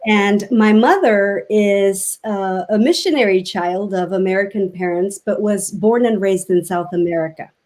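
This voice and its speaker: A woman from Mexico, a native Spanish speaker, speaking as a guest on a video call and talking reflectively with many pauses and fillers. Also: medium pitch, darkish tone. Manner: reflective, halting with many ums. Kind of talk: casual interview chat.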